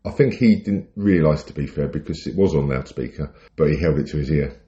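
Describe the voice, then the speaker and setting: A British man with a very deep, mellow voice co-hosts a casual podcast. He tells stories in a wry, rambling style. The audio is slightly dull and lo-fi. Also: fairly quick. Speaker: podcast co-host. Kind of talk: casual chat, telling anecdotes.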